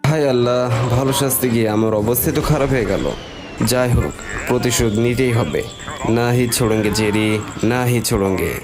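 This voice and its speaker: A man's voice: mid-range voice, close to an everyday voice. A man voicing a boastful, vengeful cartoon cat in a theatrical, gloating manner for a fan dub. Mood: dismayed. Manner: theatrical, gloating with drawn-out villain laughs. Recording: loud music under the voice.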